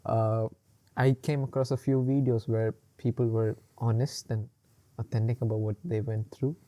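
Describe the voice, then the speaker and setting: Male podcast co-host, mid-pitched and mellow, loose rambling chat full of fillers and laughs. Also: little pitch movement, moderate pace. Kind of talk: casual chat.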